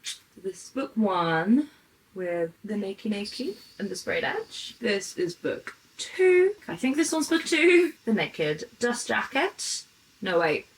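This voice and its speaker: A woman's voice: medium pitch, poor audio. Gushing female book vlogger with background noise. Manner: gushing, enthusiastic. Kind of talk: casual chatty book showcase.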